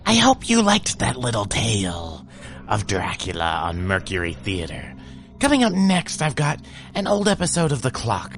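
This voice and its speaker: A man putting on a creepy, playful horror host character, presenting in a theatrical, campy way. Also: on the low side. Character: creepy horror host addressing listeners as kiddos. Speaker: podcast host.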